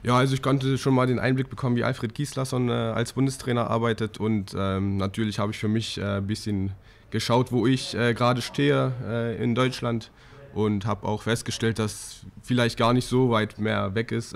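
A man's voice: on the low side, creaky. A young man, a handball player, answering press questions hesitantly with many fillers into a table mic. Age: young adult. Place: hotel press conference room.

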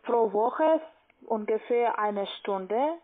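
A woman's voice: on the high side, expressive. Woman with foreign accent on tinny phone line. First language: not German. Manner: halting, searching for words. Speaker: call-center investment agent. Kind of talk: phone sales conversation. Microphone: telephone.

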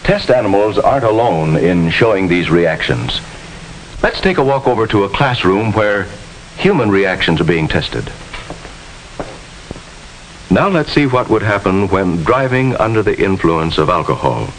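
A man's voice: low-pitched, very animated, unhurried, poor audio. An American man giving formal, instructive narration with precise diction in the style of a 1950s educational film. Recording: hissy 1950s film soundtrack.

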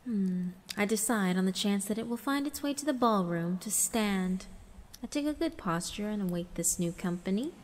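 A woman giving a dramatic first-person narration of a visual novel, theatrical and hushed, with deliberate pauses. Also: medium pitch, lively intonation. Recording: long reverb, music underneath. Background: background music.

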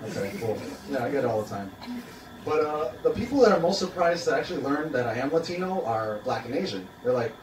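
A young man from Queens doing a stand-up comedy set, dry and self-mocking with lots of ums and uhs. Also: fairly high pitch. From Queens, New York. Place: small open-mic café stage, indoors. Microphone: handheld mic, filmed from audience. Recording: roomy, distant phone recording with noise. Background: audience laughter and room noise.

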